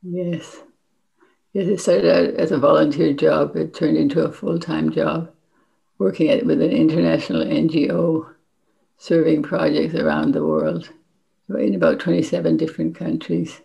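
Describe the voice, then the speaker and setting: Older woman, gravelly fry, reflective interview guest, dull lo-fi recording. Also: low-pitched, little pitch movement, normal speed, mellow. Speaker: interview guest, memoir author and former NGO worker.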